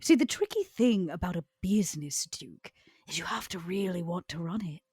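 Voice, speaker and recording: A woman playing a proud, shrewd, long-lived vampire enforcer in tabletop roleplay, with a theatrical, deliberate and cutting delivery. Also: lowish voice, lively intonation, a little mellow, breathy edge, no echo. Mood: conspiratorial, coaxing.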